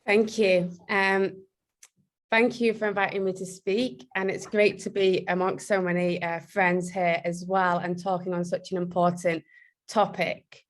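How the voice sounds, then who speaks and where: A young woman speaks in an even, rather level tone, telling her story steadily over a video call. Her voice sits slightly low for a woman and stays earnest. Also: a little slow. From Britain. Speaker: activist speaker. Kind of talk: prepared talk at an online meeting. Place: home room, indoors.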